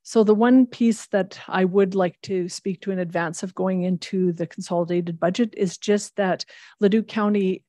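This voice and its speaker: A woman on municipal finance staff giving a formal budget presentation to council, measured and explanatory as she reads out long figures. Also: mid-range voice, steady tone, mellow, dry recording. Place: large council chamber.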